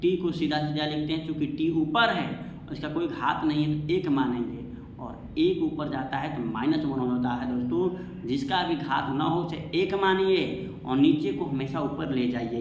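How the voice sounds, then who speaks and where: A man's voice: fairly high pitch, normal speed. A man teaching an exam-prep science lesson, repeating himself and asking questions that he answers himself. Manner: repetitive, asks and answers own questions. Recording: echoey room, faint background noise.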